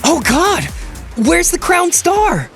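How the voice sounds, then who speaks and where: A woman's voice: very high voice, sing-song, takes it slowly, fairly bright tone, soft background music, full character voice. A woman playing scandalised bystanders in a dramatised audio story, exclaiming and questioning. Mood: panicked. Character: dramatic, scandalised women: stylist and prying reporter.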